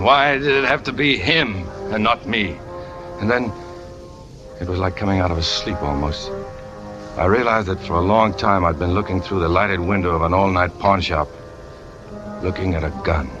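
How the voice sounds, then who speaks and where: An American actor playing a brooding, lovesick trapeze artist turned killer, terse and hard-boiled, in 1940s radio noir style. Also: male voice, deep voice, normal speed, natural voice. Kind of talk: 1940s radio noir acting, confessional narration. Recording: old 1940s radio recording with music.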